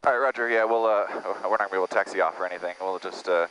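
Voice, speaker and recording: A man's voice: rapid-fire, fairly bright tone, rough recording. A man speaking as a pilot over aviation radio in an emergency, in informal but procedural phrasing. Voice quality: low-pitched. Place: small airplane cockpit in flight. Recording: clear engine-cockpit and radio noise. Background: vehicle noise, radio clicks.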